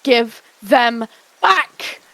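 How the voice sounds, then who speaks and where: A woman voicing a fierce, protective mother she-cat who talks in a forceful, threatening way. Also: fairly high pitch, expressive, drawn-out words, vocal fry, full character voice.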